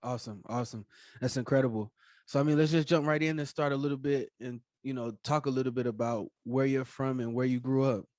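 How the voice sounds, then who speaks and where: A man's voice: mid-range voice, steady tone, fast talker, darkish tone, no room sound, clean studio sound. A man hosting a podcast interview, talking casually with many fillers and encouraging remarks. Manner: casual, filler-heavy, affirming. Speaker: podcast host.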